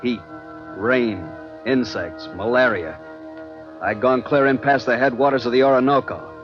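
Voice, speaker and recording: An actor playing a hard-boiled American adventurer who narrates a vintage radio drama in a clipped, dramatic way. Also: male voice, medium pitch, steady tone, unhurried, lightly acted. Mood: bitter, intense. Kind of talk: 1940s radio drama acting, first-person narration. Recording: dull vintage radio recording with loud music.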